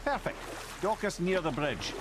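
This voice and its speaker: A man's voice: high voice, very animated, normal speed, background noise. A man playing a genial, witty old severed head and lore-keeper with a Scottish accent, wry and wordy, in a video game. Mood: brisk, guiding. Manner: wry, wordy, chatty storytelling. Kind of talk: cinematic video game acting. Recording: music under the voice.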